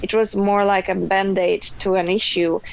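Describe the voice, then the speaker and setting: A Russian-born woman answering interview questions casually, pausing often with ums and restarts. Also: mid-range voice, level tone, gravelly, a little hiss or hum, poor audio. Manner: hesitant, many ums and restarts. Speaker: podcast guest.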